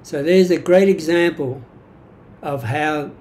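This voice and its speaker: Older Australian-accented man, mellow voice. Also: fairly high pitch, slow. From Greek-born, grew up in Australia. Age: 70s. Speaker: vlogger sharing personal history. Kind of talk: reflective monologue from notes. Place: small indoor room at home.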